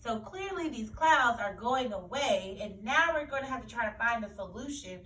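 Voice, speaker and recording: A woman's voice: on the high side, expressive, moderate pace, sharp tone. A woman teacher explaining a story to children in a read-aloud lesson, repeating key phrases as she goes. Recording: roomy echo, distant mic, faint noise.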